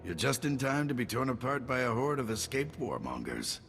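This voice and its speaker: An actor playing a gruff, battle-weary general with a clipped, wry military delivery in a video game cutscene. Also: male voice, medium pitch, sing-song, brisk, fairly bright tone, faint music behind. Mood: grim, wry. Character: gruff, weary, wry male army general under siege.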